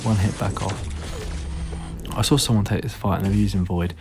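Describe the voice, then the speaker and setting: A man with a hoarse, fading voice, giving tired, laid-back gameplay commentary full of ums. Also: fairly low pitch, fairly even intonation, a little fast. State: hoarse, losing his voice; tired, yawning late at night. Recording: noisy recording with clicks.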